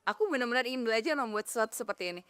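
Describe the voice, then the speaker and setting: A woman's voice: a little high, lively intonation, rapid-fire, heavy background noise, natural voice. A woman voicing a friendly, admiring young cartoon creature, polite and enthusiastic, in a children's animation dub.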